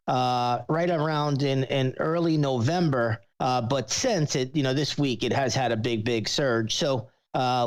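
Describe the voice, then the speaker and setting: A man speaking as an analyst on a show, giving conversational, explanatory commentary. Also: medium pitch. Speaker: market analyst, regular guest.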